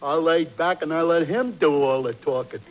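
An actor playing a dopey, earnest, slow-witted man in an old radio comedy. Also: on the high side, natural voice. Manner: dopey, plodding. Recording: muffled vintage radio recording.

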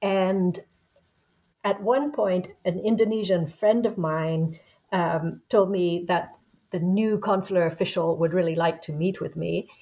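A woman in her sixties with a fairly low voice speaks as an interview guest. She recounts her path in a thoughtful, unhurried way, like a professor looking back on her work. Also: fairly even intonation, warm tone. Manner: reflective, unhurried storytelling. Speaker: guest author, anthropology professor. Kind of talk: conversational interview answer.

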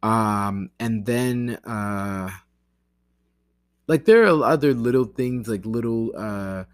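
An American man with a fairly low voice chats informally in a dry-sounding recording. He rambles and breaks off mid-thought, circling back with plenty of "like" and "you know" as he goes. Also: clear, resonant tone, dry recording. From United States. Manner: halting, self-interrupting, with many "like" and "you know" asides. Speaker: language learner vlogger. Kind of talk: casual rambling talk to camera.

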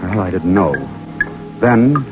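An eager young American lieutenant, pitched fairly low, on an old radio recording with faint music. He narrates and acts in the dramatic manner of a 1940s adventure serial. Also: expressive, slow pace, highs cut off. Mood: tense, exhilarated.

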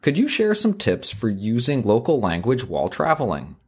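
A man's voice: medium pitch, huge pitch swings, normal speed, darkish tone, breathy edge. A man co-hosting an etiquette advice podcast, talking things through thoughtfully and reading a listener letter aloud. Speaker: podcast co-host.

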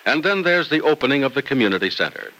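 A man's voice: medium pitch, sing-song, fast, sharp tone, rough recording. A man announcing in an emphatic, polished old-time radio sales and narration style. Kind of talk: 1940s-50s radio announcing, sales pitch.

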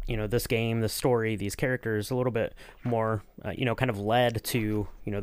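Guy cohosting a podcast, kinda low voice, agreeable rambly interviewer, chatty, dry recording. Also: no room sound. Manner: rambling, agreeable, hedging with "kind of". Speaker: podcast co-host acting as interviewer. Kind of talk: casual conversational interviewing.

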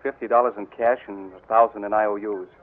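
A man's voice: lowish voice, fairly even intonation, quick pace, natural voice. An actor playing an earnest, flustered young man in a 1940s radio drama. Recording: tinny old radio broadcast.

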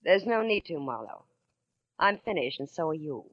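An American actress playing a cool, scheming femme fatale in a 1940s radio drama, brisk and hard-edged. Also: female voice, low-pitched, big pitch swings. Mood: cold, dismissive. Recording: dull vintage radio recording.

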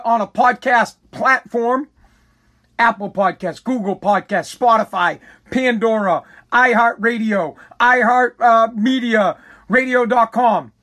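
High-pitched hoarse bombastic man. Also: fairly bright tone. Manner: bombastic, stammering, rambling. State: hoarse, lost voice. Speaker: podcast host. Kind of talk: comedic political rant.

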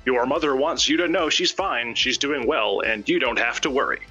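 Man doing a bright, manic, folksy radio host. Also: mid-range voice, moderate pace, husky, muffled recording, soft background music. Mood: cheerfully macabre. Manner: showman-like, gleefully macabre. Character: folksy, manically cheerful radio personality of a sinister broadcast. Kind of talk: old-time radio host patter read dramatically.

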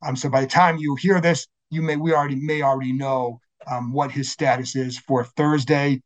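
A man's voice: mid-range voice, fairly even intonation, normal speed. A man with a scratchy voice hosting a sports podcast, talking casually and correcting himself as he goes. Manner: conversational, self-correcting, many fillers. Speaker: podcast host. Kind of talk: solo sports podcast intro.

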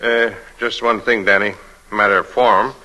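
An actor playing an affable police inspector in an old-time radio thriller, probing in a casual, unhurried way. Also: male voice, lowish voice, fairly even intonation, pushed and bright, low-quality recording. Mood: casually probing.

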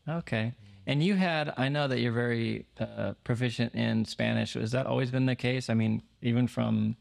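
A man's voice: mid-range voice, fairly even intonation, gravelly. A man hosting a podcast, asking follow-up questions and dropping in short affirmations. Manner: friendly interjections, quick follow-up questions. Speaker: podcast host. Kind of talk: casual interview chat.

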